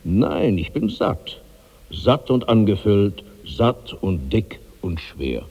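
A man's voice: on the low side, big pitch swings, takes it slowly, darkish tone, slightly rough, lo-fi sound, full character voice. An actor in a 1960s German radio drama playing a huge, heavy old man tormented by bottomless hunger, in declamatory, incantatory repetition. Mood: heavy, sated. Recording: long hall reverb on old radio recording.

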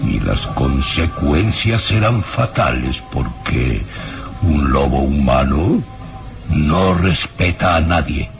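An actor playing a sinister, menacing baron in a radio drama, with theatrical, insistent, drawn-out delivery. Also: male voice, very deep voice, lively intonation, vocal fry, full character voice. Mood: ominous, accusing. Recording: radio drama with loud music behind. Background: dramatic music.

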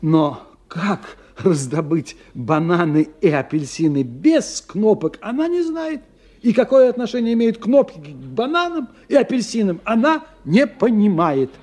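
A learned scientist in a radio drama, with a soft, mellow and fairly high male voice. He is courteous and cautious, weighing his words with hesitant, skeptical care. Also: lively intonation. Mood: musing. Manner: hesitant, courteous, deliberate phrasing. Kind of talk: 1990 Russian radio play dialogue.

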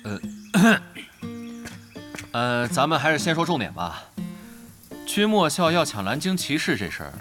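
A man's voice: on the high side, sing-song, measured pace, pauses often. A man playing an earnest, wary guild strategist in a Mandarin audio drama, businesslike and persuasive. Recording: music bed under the dialogue.

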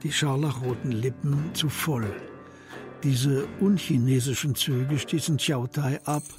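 Male radio drama narrator over music. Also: a little high. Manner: measured, descriptive. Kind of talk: scripted literary narration in a radio play.

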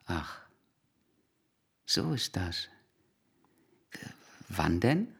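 A man's voice: sits low, sing-song, extremely slow, vocal fry, fairly bright tone, breathy edge, heavily acted. An actor playing an awkward, apologetic male visitor, speaking politely and hesitantly in a radio drama. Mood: apologetic, uneasy.

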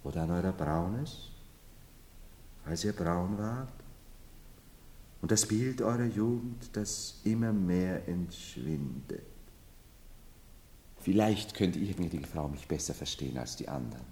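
A low male voice ringing in a long hall reverb, a medieval emperor in a German radio play of the 1970s. He declaims with volatile temper, sliding between hushed, penitent confiding and loud, mocking outbursts. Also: expressive, slow pace, long pauses, slight background noise, heavily acted. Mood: probing, mocking.

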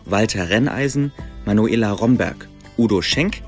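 A male announcer reads a list of credits in a fairly low voice. The scripted radio delivery sits over soft music. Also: animated, unhurried. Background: faint music.

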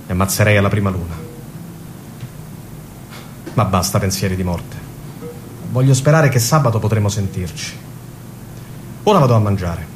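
A man's voice: fairly low pitch, expressive, normal speed, pauses often, background noise, natural voice. An actor playing a lovesick young Gazan man, reading out love letters in a tender, intimate way in a radio drama. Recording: guitar music under the voice.

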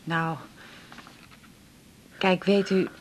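A woman's voice: lowish voice, fairly even intonation, very slow, slight background noise. An actress playing a polite, reserved middle-aged mother of a doctor in a radio drama. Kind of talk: 1980s radio drama acting.

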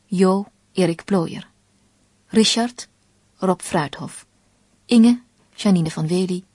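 A woman's voice: lowish voice, slow pace, a little mellow, breathy edge, dry recording. A woman narrating and announcing a radio play in a scripted, literary style.

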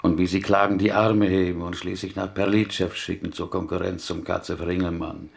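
Mournful fatalist prisoner, Yiddish-tinged Eastern European accent, very low gravelly voice, plaintive, radio play. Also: male voice, little pitch movement, moderate pace. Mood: lamenting. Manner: plaintive, inverted foreign syntax, rhetorical questions. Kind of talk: radio drama acting.

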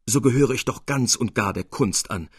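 A man's voice: mid-range voice, normal speed, slightly rough, no room sound, studio quality, lightly acted. A man reading the part of an earnest, adoring young surgeon in an audiobook, fervent and formally spoken. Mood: earnest, proud. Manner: fervent, reverent, formal old-fashioned speech.

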